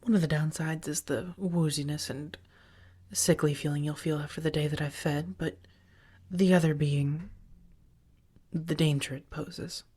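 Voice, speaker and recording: Female vampire roommate, played by a woman, ASMR roleplay: low, husky, soft-spoken, affectionate and protective, with wry elegance. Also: fairly even intonation. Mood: serious, hesitant. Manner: slow, tender, gently theatrical, breathy murmurs.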